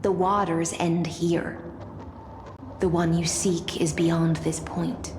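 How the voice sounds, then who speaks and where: Echoey game voice, aloof lady knight from the deep sea, takes her time, formal n dry. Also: level tone, background noise. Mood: composed, commanding. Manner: measured, formal, coolly wry. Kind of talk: video game voice acting. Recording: echoey voice over background music.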